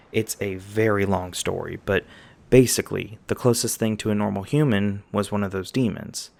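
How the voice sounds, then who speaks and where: Easygoing magi guide, played by a man, gravelly, patient fantasy storyteller for ASMR roleplay. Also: fairly low pitch. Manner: folksy, patient explaining.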